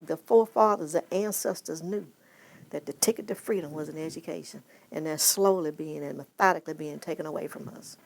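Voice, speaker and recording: A woman's voice: low-pitched, brisk, gravelly, low-quality recording. A woman giving an earnest documentary interview, speaking emphatically and repeating key phrases for weight.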